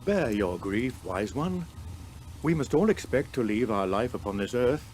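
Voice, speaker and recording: An actor voicing a resolute, grave heroic warrior with measured, declamatory speech in an epic story reading. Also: male voice, mid-range voice, lively intonation, a little mellow, lightly acted. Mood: resolute, consoling. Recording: noisy old cassette transfer.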